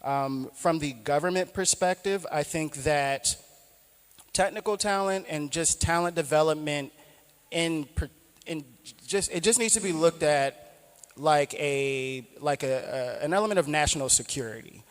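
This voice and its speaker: A man's voice: on the high side, measured pace, clean tone. A man speaking on a conference panel as a founder, talking earnestly with many ums and restarts. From outside Nigeria. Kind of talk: panel discussion talk. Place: conference hall. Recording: echoey hall.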